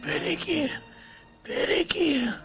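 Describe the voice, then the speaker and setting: An actor playing a frail, sick Moorish fugitive in a cartoon, pleading in a weak, failing voice. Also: male voice, very high-pitched, slow, creaky, fairly bright tone, full character voice, low-quality recording. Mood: dying, grateful. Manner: pleading, breaks into whispers. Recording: music behind the voice.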